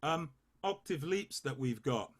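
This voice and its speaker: A man leading a choir rehearsal, coaching the basses and singing short phrases to demonstrate. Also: animated, slightly rough. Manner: unhurried, sings phrases to demonstrate. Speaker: choir leader.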